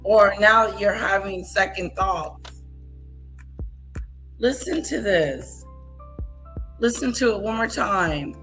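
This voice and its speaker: Sassy woman reacting with bright tone. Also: medium pitch, slow, pauses often, soft background music, rough recording. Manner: sassy, scolding, incredulous. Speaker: commentary channel host. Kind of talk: reaction commentary on a livestream clip.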